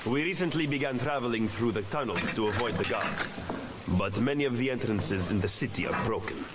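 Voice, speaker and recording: A man's voice: mid-range voice, fairly quick, noisy background, natural voice. A man with an Italian accent playing a grave, frustrated Renaissance conspirator in a video game cutscene. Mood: grave, urgent. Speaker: video game character actor. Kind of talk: dramatic game cutscene acting. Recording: game audio with music behind.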